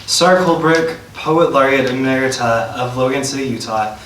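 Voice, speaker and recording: Male event host, bright tone, reading prepared introduction, echoey room with faint noise. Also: mid-range voice, moderate pace. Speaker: host introducing a featured poet. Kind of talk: reading a scripted introduction aloud. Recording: roomy, distant mic, faint noise.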